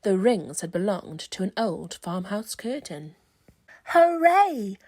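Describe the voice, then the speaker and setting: A woman's voice: fairly low pitch, unhurried, warm tone, breathy edge, no echo, clean studio sound. A woman reading a rhyming children's story aloud as scripted narration. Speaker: storybook reader.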